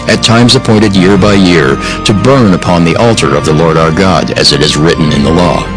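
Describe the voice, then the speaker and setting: A man with a low voice reads as the narrator of a dramatized scripture audiobook. His delivery is solemn and formal, measured from phrase to phrase. Music plays clearly behind him. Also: low-quality recording. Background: background music.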